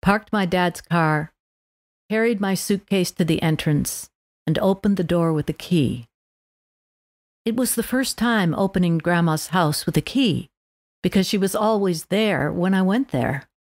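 A woman with a fairly low voice reads prepared text aloud as a podcast host for language learners. The sound is dry, with very little room in it. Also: unhurried, no room sound. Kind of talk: scripted read-aloud narration for language learners.

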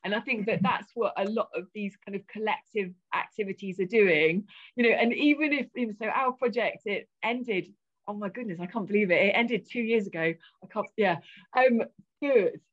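A woman academic speaking as a panelist in an online discussion, musing and animated. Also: mid-range voice, moderate pace. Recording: dull lo-fi video call audio.

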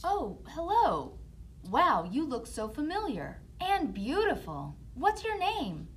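A woman plays a kind young prince in a children's storybook puppet show. He sounds smitten, high and expressive, and speaks at an easy slow pace. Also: exaggerated voice. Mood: friendly, gentle.

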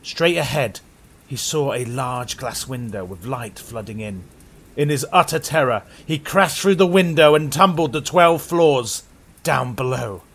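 A man's voice: medium pitch, expressive, unhurried, fairly bright tone. A young British man hosting and narrating a horror tale with dramatic, suspenseful, old-radio theatrical delivery. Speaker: horror story host and narrator.

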